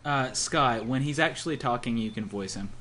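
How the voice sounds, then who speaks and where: A man's voice: medium pitch, moderate pace, pushed and bright. A young man, an amateur, reading a visual novel aloud with casual banter and stumbling over words as he goes. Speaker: let's-play co-host.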